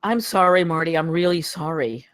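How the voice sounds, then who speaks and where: A man's voice: on the high side, normal speed, fairly bright tone, clear, resonant tone, lightly acted. A man reading the part of a weary, apologetic middle-aged studio executive at a screenplay table read. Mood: apologetic, heavy. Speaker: actor at a live table read.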